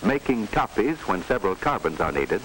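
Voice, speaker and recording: A low-pitched American man narrates an old instructional film from the 1940s. His scripted delivery moves up and down in a lively way. A light hiss runs under the old soundtrack. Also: fairly quick, low-quality recording.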